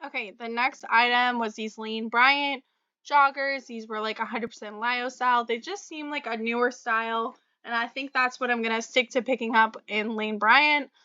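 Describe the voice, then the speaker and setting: A young woman vlogger, slightly raspy from a cold, chatting casually about her resale items with fillers and little laughs. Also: a little high, fairly even intonation, normal speed, bright, forceful tone, no room sound, lo-fi sound. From Florida. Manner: chatty, friendly, many fillers and small laughs. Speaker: reseller vlogger. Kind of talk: casual talk to camera about sales.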